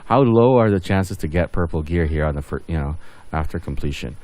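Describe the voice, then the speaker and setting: A man's voice: sits low, expressive, a little fast, darkish tone. A man doing casual gameplay commentary as host of a gaming video, rambling and thinking aloud as he goes.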